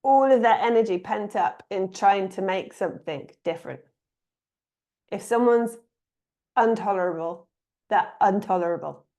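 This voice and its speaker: A woman with an English accent, giving a reflective spiritual talk in a calm, musing way, pausing often. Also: mid-range voice. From England. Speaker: spiritual teacher.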